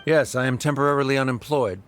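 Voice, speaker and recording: A man's voice: medium pitch, faint music behind, close to an everyday voice. An actor playing a stiff, reserved, middle-aged scholar and awkward father in a video game, speaking in formal, restrained phrases. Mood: formal, composed. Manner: formal, measured wording, restrained. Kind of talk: video game character acting.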